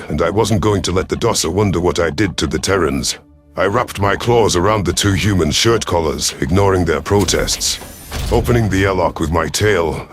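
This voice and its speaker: A man reading scripted first-person science fiction as a brooding, growling reptilian alien commander. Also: low voice. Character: brooding, ruthless but conflicted male reptilian alien commander. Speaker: narrator of an audio story channel. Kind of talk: first-person scripted sci-fi narration in character.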